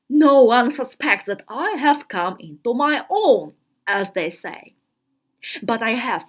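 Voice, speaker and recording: A woman acts out a worldly-wise fourteen-year-old girl confiding in her diary with grand self-importance. Her voice is high and swoops widely, delivered slowly with theatrical pauses and emphatic words, as a dramatic storybook reading. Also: a bit bright, exaggerated voice.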